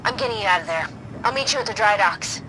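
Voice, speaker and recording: A woman acting a brisk, take-charge ally in a video game. Her mid-pitched voice gives orders with dry exasperation, over clear background noise. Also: expressive, close to an everyday voice. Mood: urgent, exasperated. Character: brisk, commanding female ally, dryly exasperated.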